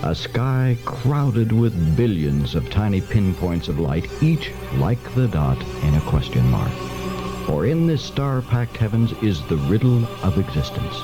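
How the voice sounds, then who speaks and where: A man acting as a solemn narrator in an old-fashioned radio mystery, deliberate and dramatic. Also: low voice, exaggerated voice, rough recording.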